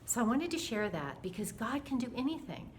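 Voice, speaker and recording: A mature woman telling a personal faith story in an earnest, dramatic, animated way, acting out the dialogue as she goes. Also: on the high side, fairly quick, slight background noise.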